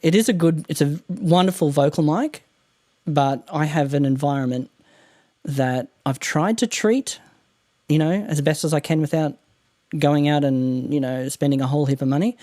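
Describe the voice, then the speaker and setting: Nasal aussie guy rambling about his mic setup, kinda high voice but mellow, dry close sound. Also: normal speed, dry recording. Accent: Australian. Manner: chatty, rambling, laughs often. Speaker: podcast producer guest. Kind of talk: casual technical chat. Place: home room treated with mattresses. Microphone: condenser studio mic.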